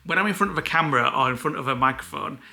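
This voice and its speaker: A man co-hosting a vlog, talking casually to camera in a soft voice, happy to chat on and on. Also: a little high, very fast, vocal fry. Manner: soft-spoken but endlessly chatty. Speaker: vlog co-host.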